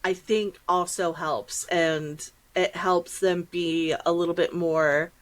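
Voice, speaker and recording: A woman's voice: lowish voice, steady tone, slow pace. A middle-aged American woman chatting casually as a podcast host, with slangy asides and acted-out reactions.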